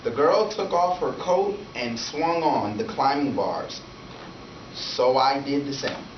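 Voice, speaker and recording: A man's voice: medium pitch, slow pace, close to an everyday voice. A man voicing a lonely, timid, polite young boy with simple childlike narration in a storybook read-aloud. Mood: quietly excited. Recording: echoey room from far, noisy, dull.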